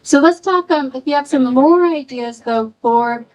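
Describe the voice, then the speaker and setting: A woman's voice: high voice, moderate pace, a little mellow, lo-fi sound. A woman moderating a panel, asking long, rambling questions with many restarts.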